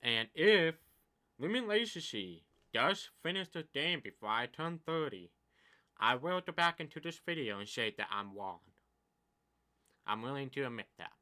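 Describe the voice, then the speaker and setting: A young deaf man in his twenties talks with a very bright, sharp edge at an ordinary pitch. His talk is casual and rambling, a steady stream of complaints whose loudness rises and falls unevenly. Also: normal speed. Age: 20s. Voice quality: deaf speaker's speech. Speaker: gaming YouTuber. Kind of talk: casual commentary vlog.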